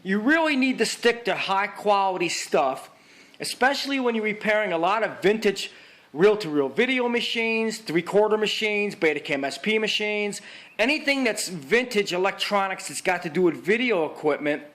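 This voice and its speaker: A man with a notably high voice talks viewers through a repair guide in an informal way. He is emphatic and opinionated, circles back to repeat his points, and words things bluntly with plenty of slang. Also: expressive, moderate pace, fairly bright tone. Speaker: vintage video equipment repairer giving a how-to guide. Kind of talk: informal instructional talk to viewers.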